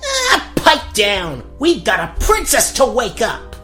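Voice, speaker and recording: A man voicing a cowardly, blustering young prince who stammers excitably in a cartoon parody. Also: very high voice, slow, soft background music, pitched above the performer's own voice, heavily acted. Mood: irritated. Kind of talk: cartoon gag-dub parody.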